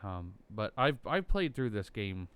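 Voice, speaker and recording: Laid-back man with a smooth bassy voice. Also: very animated, unhurried, fairly bright tone. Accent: American. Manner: rambling, lots of ums and likes. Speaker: gaming YouTuber. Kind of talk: casual gameplay commentary.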